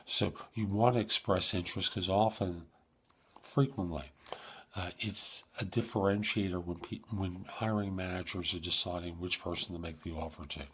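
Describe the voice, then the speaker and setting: Male advice-show host, low and raspy, informal coaching delivery with emphatic points. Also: fairly even intonation, normal speed, a bit bright. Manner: informal, emphatic coaching, acts out sample questions. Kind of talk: conversational advice monologue.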